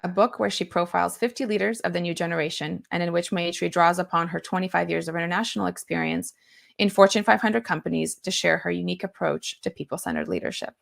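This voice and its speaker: Woman interview host, creaky-toned, eager and conversational. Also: lowish voice, fast. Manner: enthusiastic, chatty, many likes and ums.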